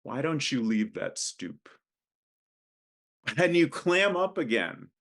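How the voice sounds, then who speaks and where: A man's voice: medium pitch, sing-song, slow pace, creaky, fairly bright tone, pitched above the performer's own voice, exaggerated voice. Man playing a drunk, needling teenage boy.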